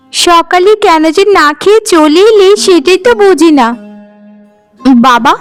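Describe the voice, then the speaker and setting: Woman doing a chirpy cheeky village girl. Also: very high-pitched. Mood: cheerful. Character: cheeky young village girl, lively and affectionate. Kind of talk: cartoon voice acting.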